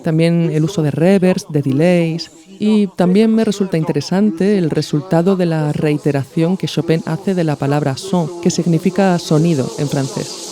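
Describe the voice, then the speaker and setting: A man's voice: on the high side, normal speed, hardly any pauses, a crowd in the background. A man from hosting a podcast, explaining in a didactic, narrated style. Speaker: podcast host. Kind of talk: explanatory narration of radio art.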